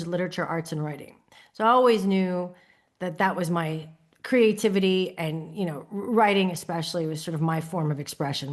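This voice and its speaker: Woman in her 30s, New York accent, low-pitched, expressive guest voice. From New York City. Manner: expressive storyteller, lively rises and laughs. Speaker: podcast guest, creative studio founder. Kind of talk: conversational interview. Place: lives in Copenhagen.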